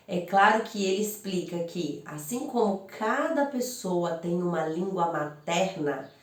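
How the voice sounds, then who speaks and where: A woman with a Brazilian accent, a couples psychologist, explaining in a friendly, chatty way with rhetorical questions. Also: fairly low pitch. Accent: Brazilian Portuguese. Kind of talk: informal explanatory talk to camera. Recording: roomy echo, voice from far off.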